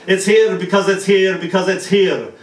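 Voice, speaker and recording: A man from Ireland reads his poetry in a small cafe, his voice sitting a little high. He jokes with the crowd between pieces and slows into tender recitation. The mic is set back, so the sound is roomy and distant with faint noise behind him. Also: steady tone. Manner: jokey asides, then slow tender recitation. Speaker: poet reading at an open mic. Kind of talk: poetry reading with banter. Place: small cafe venue with live audience.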